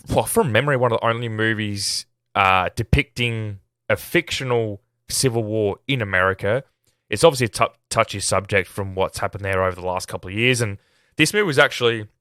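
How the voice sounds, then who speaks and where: A man's voice: fairly low pitch, pushed and bright, no echo, clean studio sound. An Australian man hosting a casual film review podcast while sick, talking in a rambling, self-deprecating, hesitant way. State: sick, voice at risk of giving out.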